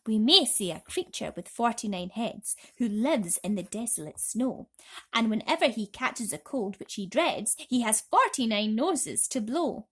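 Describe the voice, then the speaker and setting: Woman putting on an excitable high-pitched storybook centipede. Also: measured pace, breathy edge. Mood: singsong, playful. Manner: exuberant, singsong rhyming delivery. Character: excitable, boastful male centipede, grinning and mischievous.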